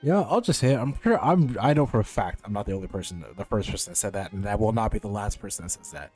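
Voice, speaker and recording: A man's voice: lowish voice, expressive, quick pace, a little mellow, husky, soft background music. A man streaming a game with casual, slangy commentary and plenty of swearing. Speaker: gaming streamer.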